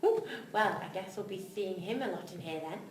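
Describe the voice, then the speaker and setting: An actress playing a chirpy, sharp-witted barmaid who speaks frankly in a drama script reading. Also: female voice, mid-range voice, lively intonation, fairly quick, lightly acted. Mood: interested. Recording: echoey room, distant, faint noise.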